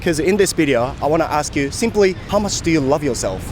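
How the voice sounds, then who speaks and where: A man's voice: fairly high pitch, lively intonation, a little hiss or hum. Chatty man interviewing people on the street. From Japan. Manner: friendly, chatty, quick questions. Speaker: street interviewer, channel host. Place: outdoors in a city street.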